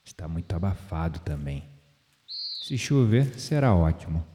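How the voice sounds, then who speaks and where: An actor with a Brazilian accent playing a calm, playful, spiritually minded man in an audio drama. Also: low voice, a little slow, background noise. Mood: calm, musing. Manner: gently playful, reflective, philosophical.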